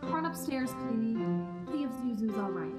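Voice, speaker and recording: A woman's voice: on the high side, expressive, normal speed, loud music behind the voice, close to an everyday voice. A woman acting a concerned, patient wife and mother in a live radio play.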